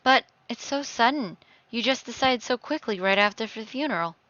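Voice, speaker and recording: Woman acting a bright-voiced, emotional teenage daughter. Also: fairly high pitch, expressive, no echo, highs cut off. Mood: hesitant. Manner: halting, pleading, rising to heated outbursts. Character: grieving, defiant teenage daughter, hurt and emotional. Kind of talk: amateur dramatic reading of a story.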